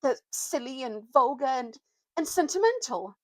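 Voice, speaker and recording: A bratty schoolboy from a storybook, put on by a woman. He wails and sneers in a high, loud whine, full of snobbish disgust. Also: very animated, normal speed, no room sound. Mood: disgusted wailing. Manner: wailing, sneering complaint.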